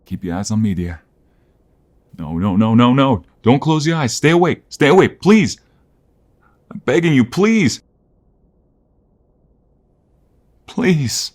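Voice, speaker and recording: A man's voice: mid-range voice, animated, measured pace, a little mellow. A man playing a devoted, protective mobster lover in an ASMR roleplay, pleading emotionally and intimately with the listener. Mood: desperate pleading.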